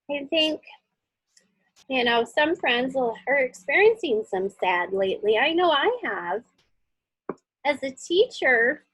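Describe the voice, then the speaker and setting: A woman's voice: high-pitched, dry recording, poor audio. A woman teacher casually talking children through a drawing step by step, thinking aloud and often checking in with little questions.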